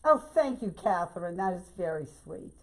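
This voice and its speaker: Older woman, hoarse, Louisiana Southern accent, folksy chatter, craft tutorial host. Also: lowish voice, animated, normal speed. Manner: chatty and folksy, keeps saying y'all. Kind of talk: casual bow-making demonstration.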